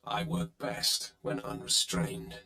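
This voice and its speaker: Gravelly, commanding male Sith warrior. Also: fairly high pitch, huge pitch swings, slow, bright, forceful tone, reverberant, full character voice. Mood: cold confidence. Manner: clipped, cold, commanding. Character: curt, domineering young Sith warrior apprentice. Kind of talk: video game voice acting.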